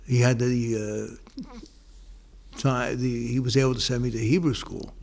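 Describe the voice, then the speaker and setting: An older man talks through old memories in an unhurried way. He keeps circling back with restarts and fillers, and his voice sits in a mid-range pitch. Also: little pitch movement, vocal fry, darkish tone. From New York, United States. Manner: rambling, many restarts and pauses. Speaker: interviewee. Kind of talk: casual oral-history interview.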